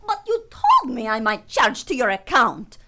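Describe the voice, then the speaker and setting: A woman's voice: a little high, wildly swooping pitch, a little slow, sharp tone, exaggerated voice. A woman acting a dramatic kept mistress in a radio play, with stilted, formal phrasing. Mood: indignant. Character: dramatic, kept mistress with foreign formal speech, "Dragon Lady".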